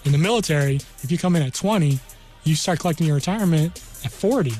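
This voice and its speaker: A man's voice: a little high, animated, fast talker, a little mellow, faint music behind. An American man in his thirties from New Mexico, explaining things informally as a guest career counselor in a conversational way. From New Mexico, United States. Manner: conversational, explains with hypothetical examples.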